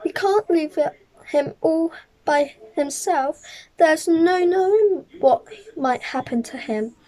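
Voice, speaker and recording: A woman's voice: very high-pitched, slow, warm tone, voice pushed up, rough recording. A woman voicing a kindly, decisive wife and mother who exclaims her lines in a children's storybook. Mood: concerned.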